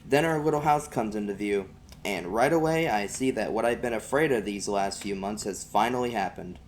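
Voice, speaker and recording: A man's voice: on the low side, normal speed, a little hiss or hum. A man reading an audiobook as an amateur, narrating in the first person in a folksy vernacular.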